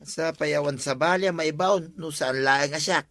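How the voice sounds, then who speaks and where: A man's voice: on the high side, unhurried, fairly bright tone, slight background noise. A man playing a blunt, mocking village pal in a comedy audio drama, needling and dismissive. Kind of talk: comedy radio drama acting.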